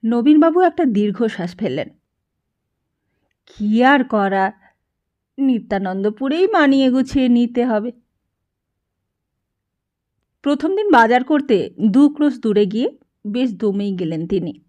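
A woman narrator reading a short story aloud in an expressive, scripted storytelling style. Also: a little high, a little slow, pauses often, dull audio. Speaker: audiobook narrator.

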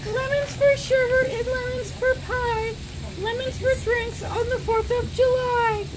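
A woman reading a picture book aloud in a theatrical, drawn-out way, outdoors and a little way from the camera's mic. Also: very high-pitched, fairly even intonation, background noise. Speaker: reader. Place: outdoors on a garden bench.

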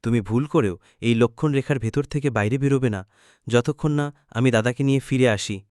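A man's voice: mid-range voice, brisk, a little mellow, no room sound, clean studio sound, lightly acted. A man voicing a dutiful young prince in a cartoon, reassuring and firm.

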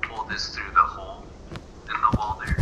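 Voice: medium pitch, level tone, normal speed, whispered. A player chatting casually during a family multiplayer game. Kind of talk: casual gaming chat. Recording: dull lo-fi sound, loud music behind.

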